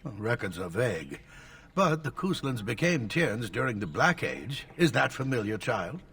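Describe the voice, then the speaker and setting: An actor playing an elderly scholarly tutor in a video game, lecturing formally and at length, stern and easily exasperated. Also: male voice, medium pitch, very animated, moderate pace, a bit bright, recorded from a distance, a little hiss or hum.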